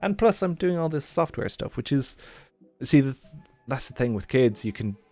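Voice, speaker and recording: A man's voice: mid-range voice, big pitch swings, normal speed, mellow, faint music behind. A man in his thirties with a mild Irish accent, chatting in a rambling, jokey way to his live-stream chat. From Ireland. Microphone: good desk mic at computer.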